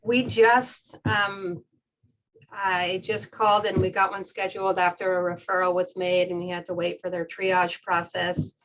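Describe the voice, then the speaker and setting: A woman's voice: lowish voice, level tone, a little slow, muffled recording, a little hiss or hum. A woman care coordinator speaking casually about care approaches in a case discussion over a video call.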